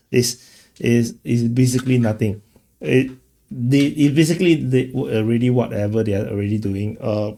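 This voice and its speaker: A man's voice: mid-range voice, gravelly, dark tone. A man hosting an off-the-cuff political commentary, rambling casually and often repeating words as he goes. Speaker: commentator, show host.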